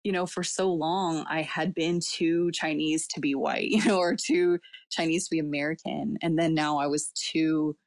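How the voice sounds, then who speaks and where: Low-voiced reflective woman, dry sound. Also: no room sound. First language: Cantonese. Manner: reflective, many fillers, laughs often. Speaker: guest. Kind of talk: casual personal interview talk.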